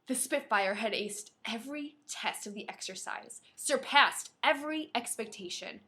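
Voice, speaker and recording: A woman co-hosting a podcast, reading a novel aloud in an animated, playful way and breaking off for casual banter. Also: on the high side, moderate pace. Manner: playful, dramatic, often breaks off to comment.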